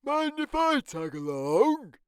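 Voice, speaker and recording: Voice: sits high, very animated, slow, no echo, studio quality, voice pushed up, exaggerated voice. A storybook narrator voicing a friendly, easygoing male jungle animal with a polite, agreeable manner. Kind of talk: children's picture-book character reading.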